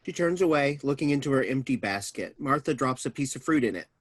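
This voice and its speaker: A man's voice: mid-range voice, expressive, brisk. A man reading stage directions as scripted narration at a staged play reading.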